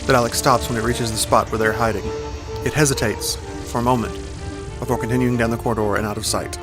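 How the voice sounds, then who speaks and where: A man's voice: medium pitch, steady tone, fast talker. A man reading scripted narration for an audio drama. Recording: music behind the voice.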